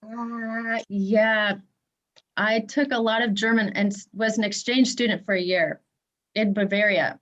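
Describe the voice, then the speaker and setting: A woman co-hosting a casual live stream who talks in a relaxed, offhand way. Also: on the high side, measured pace, muffled recording. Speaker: co-host.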